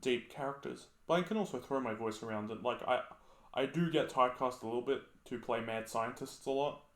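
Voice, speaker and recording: Deep voiced guy chatting on a podcast, laid back, bit of room echo. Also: a little fast. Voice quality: naturally deep voice. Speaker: podcast co-host, hobby voice actor. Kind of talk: casual chat. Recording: roomy echo.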